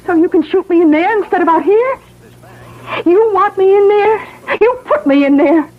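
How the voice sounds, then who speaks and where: A woman's voice: very high-pitched, expressive, normal speed. An actress playing an emotional, defiant woman in danger in a classic radio mystery, with a dramatic, anguished delivery. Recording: tinny, noisy old radio broadcast.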